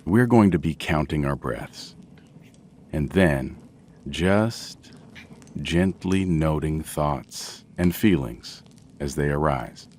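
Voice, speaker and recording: A man gently narrating a guided sleep meditation in a soothing, measured way with long pauses. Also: rumbling low, animated, a little hiss or hum.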